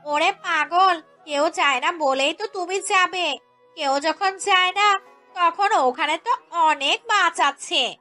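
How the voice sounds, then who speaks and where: A woman's voice: very high-pitched, slow pace, sharp tone, soft background music, heavily acted. A woman voicing a greedy, scolding village housewife in a cartoon story, demanding and pushy in manner. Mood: nagging, insistent. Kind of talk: Bengali cartoon story voice acting.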